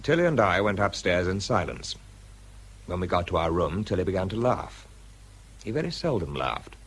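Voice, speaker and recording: A man playing a troubled British scientist who narrates a radio drama in a confiding, measured, husky voice. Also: sits low, sing-song, a bit bright, slight background noise. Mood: wry. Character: weary, troubled British male scientist narrating his story. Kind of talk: dramatised first-person narration.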